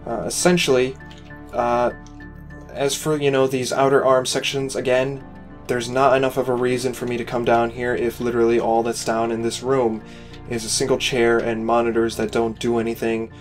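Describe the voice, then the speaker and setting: A man's voice: medium pitch, level tone, normal speed, soft background music. A tired man who is losing his voice, giving casual, rambling gameplay commentary as he critiques a player-made space station, critical but encouraging. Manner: rambling, with frequent "you know" and "uh" fillers. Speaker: game station reviewer.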